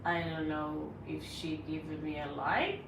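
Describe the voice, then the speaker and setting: A woman's voice: deep voice, little pitch movement, measured pace. Norwegian accent lady doing a tarot stream, blunt and sarcastic, kinda far from the mic, roomy and noisy. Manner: blunt, scolding, sarcastic asides to chat. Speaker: tarot reader livestream host. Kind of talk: casual live-stream chat answering viewers. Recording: echoey room, mic set back, audible noise.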